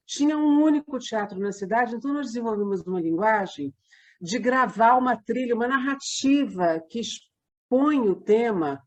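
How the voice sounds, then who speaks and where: High-pitched Brazilian woman interviewee. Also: animated, moderate pace, darkish tone, highs cut off. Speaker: theatre company member, interview guest. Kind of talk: conversational interview, explaining her work.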